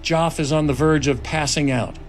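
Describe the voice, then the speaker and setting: A man speaking as a writer-director and host, deliberate and pausing as he narrates or explains. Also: a little high, steady tone, a little hiss or hum. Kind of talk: scripted narration and reflective talk.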